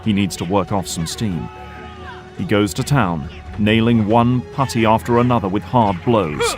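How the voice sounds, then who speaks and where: A man's voice: lowish voice, normal speed, music in the background. A man narrating a scripted audio drama.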